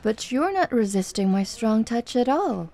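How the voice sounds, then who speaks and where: A woman's voice: fairly high pitch, sing-song, a little slow, dark tone. A woman playing a flirty, confident tomboy MMA fighter in an ASMR roleplay, with a playful, teasing, coaxing manner. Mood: coaxing, dominant.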